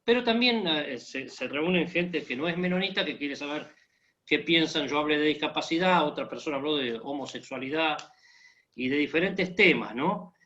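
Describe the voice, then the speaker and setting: A man's voice: on the high side, animated, normal speed, bright, forceful tone, dull audio. A man from Argentina with an Argentine accent, talking casually and joking as a guest in an online conversation, with many fillers. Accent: Argentine Spanish. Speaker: guest speaker.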